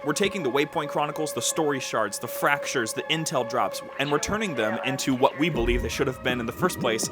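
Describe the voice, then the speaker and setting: A male podcast host gives a conversational update to his audience over prominent background music. His voice sits at an average pitch. He sounds enthusiastic and informal, leaning on key words for emphasis. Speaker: podcast host and producer giving a channel overview. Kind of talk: upbeat, conversational channel update to fans.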